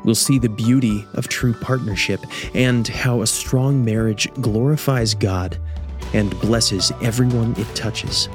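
A man hosting and narrating a radio drama as a measured, scripted storyteller. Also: fairly low pitch, steady tone, music in the background. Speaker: host and narrator.

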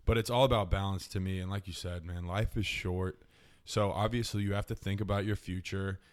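A man's voice: sits low, steady tone, fairly quick. A man in his mid-twenties hosting a podcast, giving earnest, upbeat advice in casual chat. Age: mid-20s. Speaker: podcast host.

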